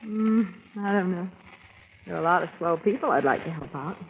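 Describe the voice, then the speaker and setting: An actress playing an earnest, excitable young woman in a 1940s radio thriller, questioning and emphatic in her delivery. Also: fairly low pitch, normal speed, narrow, tinny audio, close to an everyday voice. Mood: coy, surprised. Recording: thin, noisy 1940s radio recording.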